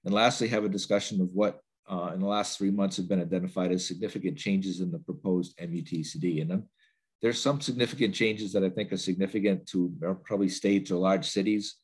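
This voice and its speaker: A man's voice: low voice, steady tone, brisk, slightly rough. A man with a bit of a cold, giving a training webinar from a home office over a laptop video call, talking in loose sentences with many fillers and restarts. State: stuffy with a cold. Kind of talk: webinar presentation.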